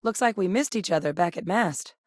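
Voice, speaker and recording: An actress voicing a composed female scientist-soldier companion in a video game, speaking in a measured way. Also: mid-range voice, expressive, no room sound, natural voice. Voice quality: measured and composed, with sudden urgent shouts in combat. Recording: dry studio recording.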